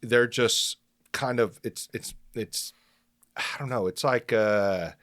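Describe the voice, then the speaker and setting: A man's voice: mid-range voice, slow pace, fairly bright tone. A man hosting a podcast in casual chat, loose and profane, laughing often. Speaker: podcast host.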